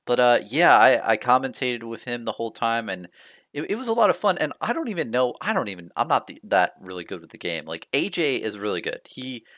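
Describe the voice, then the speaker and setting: A man's voice: medium pitch, animated, fairly quick, fairly bright tone, no room sound, studio quality. A man hosting a casual chat, rambling with lots of fillers and restarts, upbeat and encouraging. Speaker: host.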